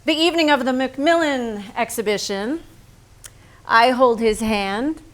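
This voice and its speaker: A woman acts out a frank woman painter, hopelessly smitten, in a staged play. Her voice sits fairly high and moves very slowly. She delivers each thought intimately and dramatically, weighing it as she goes. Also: natural voice. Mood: bitter pride. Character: Lee Krasner, frank, smitten thirty-something woman painter. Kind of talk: theatrical staged reading, monologue and dialogue.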